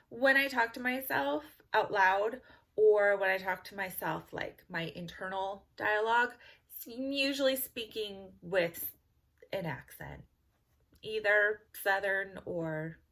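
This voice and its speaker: A Californian woman talking to her subscribers in a loose, rambling chat full of little laughs and fillers. Her voice is bright and forward. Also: medium pitch, slow pace. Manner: chatty, giggly, rambling with fillers. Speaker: vlogger. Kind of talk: casual talk to camera.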